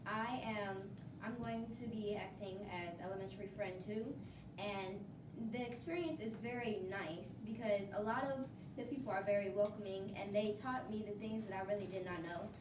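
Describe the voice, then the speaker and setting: A woman with a mid-range voice introduces herself informally as a new actor. She is distant from the mic in an echoey room, with noise clearly audible. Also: medium pitch, fairly even intonation, moderate pace. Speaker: first-time amateur stage actor.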